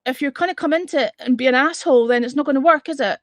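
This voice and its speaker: Blunt high-voiced Scottish woman. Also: racing, dry recording, clean studio sound. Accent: Edinburgh Scottish. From Scotland. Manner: blunt, exasperated and sweary. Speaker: livestream host. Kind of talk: casual live-stream chat with viewers.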